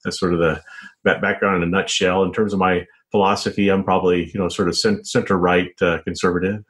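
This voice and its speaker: A man's voice: low-pitched, flat delivery, fast talker, fairly bright tone. An American man answering casually as an interview guest, stumbling over and restarting words as he goes. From Oregon, United States. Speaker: interview guest, journalist and author. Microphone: phone call.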